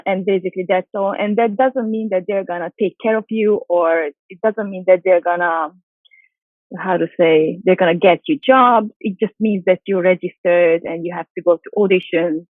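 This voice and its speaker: A woman's voice: fairly low pitch, no room sound. A Hungarian-native woman, a model and actress, answering interview questions in a chatty way with lots of fillers and restarts. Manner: chatty, many fillers and restarts, light laughs. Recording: dry tinny phone-like call audio.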